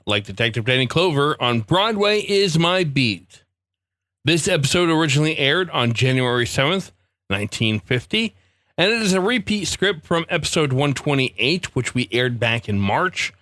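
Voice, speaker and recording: A man's voice: on the high side, fairly bright tone, no room sound. A man hosting a podcast, reading scripted introductions and announcements. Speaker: podcast host.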